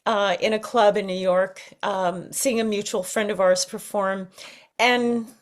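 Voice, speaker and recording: A middle-aged American woman reflecting in an interview, thoughtful and often halting as she searches for words. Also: mid-range voice, fairly even intonation. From United States. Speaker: interview guest, singer.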